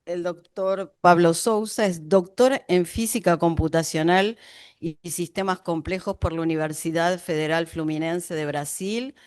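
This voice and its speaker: A woman's voice: fairly low pitch, darkish tone, clear, resonant tone, no room sound, clean studio sound. A woman coordinating an academic symposium, introducing the speakers in a chatty, informal way with frequent fillers.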